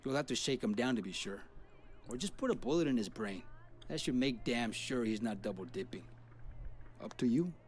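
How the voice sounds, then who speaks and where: A man's voice: mid-range voice, expressive, normal speed, close to an everyday voice. Man playing tough gang boss. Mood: cool, menacing. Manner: street slang, tough talk. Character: tough, vengeful male street gang boss. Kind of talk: scripted video game dialogue.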